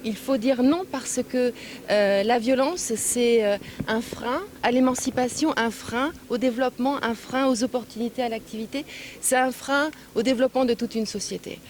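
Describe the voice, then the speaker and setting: A woman speaking as an official at a public event, phrasing deliberately with frequent hesitant pauses, recorded on location. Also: fairly high pitch, a little mellow, slight background noise. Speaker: European Union official giving a speech and interview. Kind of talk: public speech and press remarks.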